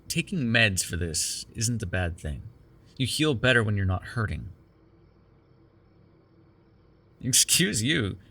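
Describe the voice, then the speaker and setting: Man acting a sardonic vampire, bright lively voice. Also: fairly low pitch, gravelly, heavily acted. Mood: wry, coaxing. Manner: wry, teasing, profane, conversational. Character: sardonic, caring immortal male vampire held captive in cuffs. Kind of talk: immersive ASMR roleplay acting.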